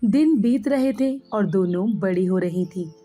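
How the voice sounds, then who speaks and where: A woman's voice: medium pitch, animated, unhurried, warm tone, faint music behind. A woman reading scripted narration as the storyteller of a moral tale.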